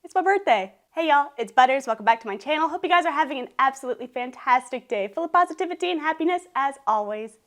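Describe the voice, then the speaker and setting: A thirty-year-old woman talks fast to her camera from her couch at home. Her voice sits high, chatty and open. She laughs easily, and tears come through now and then. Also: expressive, a bit bright. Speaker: YouTuber. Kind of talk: casual vlog talk to camera. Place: living room at home.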